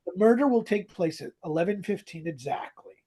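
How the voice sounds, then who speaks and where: A man co-hosting a podcast, casually retelling a story in an animated way. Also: high voice, fairly quick, husky. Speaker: podcast co-host. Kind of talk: casual chat, retelling a radio drama.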